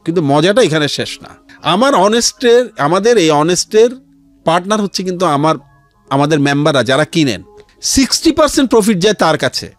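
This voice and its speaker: Male radio guest, Bangladeshi accent, lightly high-pitched and very expressive, earnest explainer who drops in English. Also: brisk, no room sound, faint music behind. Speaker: interview guest, founder of a charity resale platform. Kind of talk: conversational storytelling in a radio interview. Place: radio studio.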